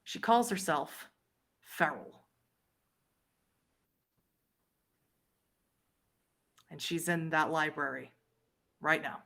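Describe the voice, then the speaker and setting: A woman plays an old female vampire informant in a tabletop roleplay. Her voice sits a little low and sounds weary and guarded. She speaks in short, measured statements with dramatic pauses. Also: fairly bright tone, heavily acted. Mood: guarded, ominous. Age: older.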